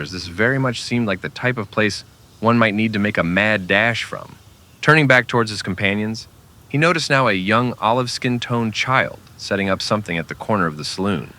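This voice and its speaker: A male storyteller with a low, forceful voice and lively swings in pitch. He delivers scripted western narration in a dramatic, old-time radio manner.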